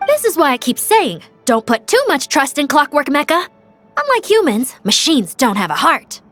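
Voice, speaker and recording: A woman's voice: high-pitched, big pitch swings, moderate pace, fairly bright tone, faint music behind. A woman voicing an earnest young actress who delivers dramatic lines with formal phrasing in a video game. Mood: solemn, reproachful.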